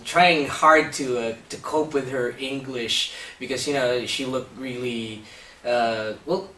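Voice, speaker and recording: A man's voice: medium pitch, steady tone, slow pace, pushed and bright. A man with a Filipino accent hosting a casual talk show interview, chatty and hesitant, with many uhs and some Tagalog. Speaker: host. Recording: roomy echo, mic at a distance, faint background hiss.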